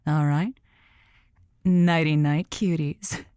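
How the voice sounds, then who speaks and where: A woman's voice: low-pitched, fairly even intonation, takes it slowly, mellow, clean tone, no room sound, studio quality. A woman playing a playful, chatty late-night radio host with cutesy patter. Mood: playful, upbeat. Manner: playful, chatty, addresses listeners cutely. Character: Josie Heller, flustered stand-in-less female late-night radio host.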